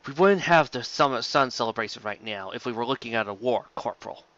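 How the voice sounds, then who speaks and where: A man voicing a male pony guard officer, authoritative and deadpan, in an audiobook story. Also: medium pitch, a little fast, no echo, muffled recording, close to an everyday voice.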